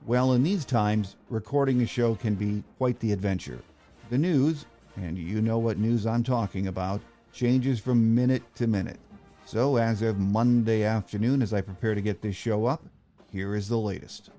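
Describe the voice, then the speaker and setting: A man's voice: on the low side, normal speed, warm tone, faint music behind. An American man hosting a sports podcast, reading scripted news and introductions.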